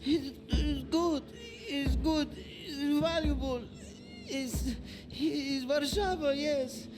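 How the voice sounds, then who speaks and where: Scared polish immigrant debtor, very high voice, slow and stammering, western game with music behind. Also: male voice, full character voice. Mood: panicked, pleading. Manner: stammering, halting, pleading. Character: frightened, pleading male Silesian immigrant debtor with little English. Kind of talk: video game acting.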